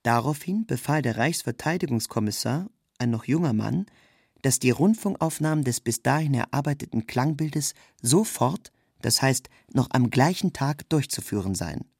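A man's voice: mid-range voice, lively intonation, moderate pace, breathy edge, dry recording, clean studio sound. A man reading a scripted literary narration in a sober, factual manner.

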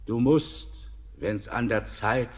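An actor playing an old, weary male mountain spirit in a classic radio play, declaiming verse in a slow, weighty way. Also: medium pitch, lightly acted. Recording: long hall reverb.

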